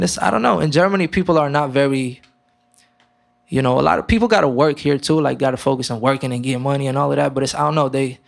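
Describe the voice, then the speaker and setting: A man's voice: medium pitch, vocal fry. A young man from Romania, a guest musician, talking casually in an interview with many fillers and restarts. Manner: relaxed, halting, many fillers.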